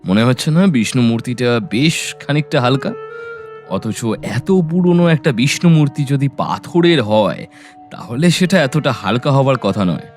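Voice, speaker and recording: A resolute male detective, played by an actor in an audio mystery drama. He speaks in a mid-pitched voice, firm and clear, reasoning with deliberate care. Light music runs underneath. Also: expressive, a little mellow, lightly acted. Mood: probing, guarded. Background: background music.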